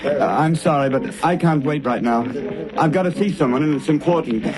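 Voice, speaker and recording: A man's voice: a little high, a little fast, crowd noise, close to an everyday voice, poor audio. A man playing a nervous young man in a 1930s radio drama, flustered and evasive.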